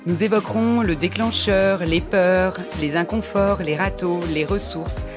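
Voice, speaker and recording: A woman's voice: fairly low pitch, measured pace, over music. A French-speaking woman hosting a podcast, reading a scripted intro and then chatting eagerly with her guest. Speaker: podcast host.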